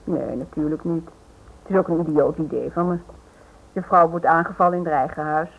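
Sardonic loyal wife, dull recording. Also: female voice, deep voice, steady tone, fairly quick, creaky, breathy edge, full character voice. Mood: sarcastic, worried. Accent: Dutch. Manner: dry, sarcastic. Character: loyal, sardonic wife, worried yet stubborn. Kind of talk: radio play acting. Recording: dull old radio play recording.

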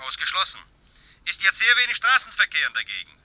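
An actor playing a dutiful police control-room officer on the telephone in a German radio play, clipped and matter-of-fact. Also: male voice, sits high, huge pitch swings, fast talker, full character voice. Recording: telephone sound, busy background chatter.

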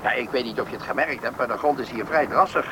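An actor playing a helpful, talkative settlement official who politely explains things to newcomers in a Dutch radio play. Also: male voice, medium pitch, close to an everyday voice. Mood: informative, proud. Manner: explanatory, polite, brisk guide. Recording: old radio play, faint background noise.

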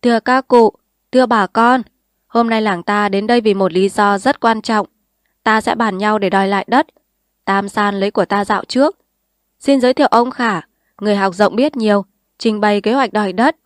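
A woman reading a story aloud, voicing an old village man making a loud, formal announcement. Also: medium pitch, moderate pace, dry recording, clean studio sound, natural voice. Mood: proclaiming. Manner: proclaiming, formal.

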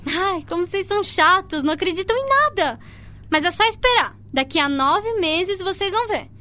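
An actress with a Brazilian accent playing an excitable, stubborn, imaginative fourteen-year-old girl in a radio soap. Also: extremely high pitch, normal speed, full character voice. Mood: excited, stubborn. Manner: excitable, pleading, affectionate.

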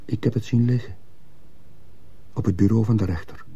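A man playing a troubled schoolteacher in a radio drama, speaking with restraint and quiet insistence. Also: on the low side, steady tone, moderate pace, lo-fi sound, close to an everyday voice. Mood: probing, insistent. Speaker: actor in a radio play. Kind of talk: Dutch-language radio drama acting.

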